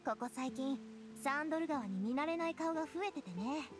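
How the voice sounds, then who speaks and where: A woman's voice: sits high, expressive, moderate pace, music in the background. An actress playing a confident, breezy young lady in an anime-style game, casual, direct and knowing.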